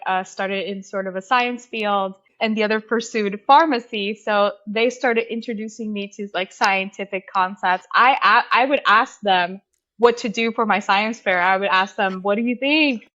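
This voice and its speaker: A woman in her twenties from Puerto Rico, a PhD student, answering interview questions in a soft, easygoing way with frequent fillers. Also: mid-range voice, moderate pace, muffled recording. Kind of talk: podcast interview.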